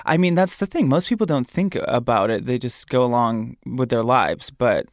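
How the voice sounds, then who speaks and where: A man from Michigan hosting a podcast in an animated, campy and chatty way, close to the mic. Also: medium pitch, normal speed, no echo. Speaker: podcast host. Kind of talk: casual podcast chat.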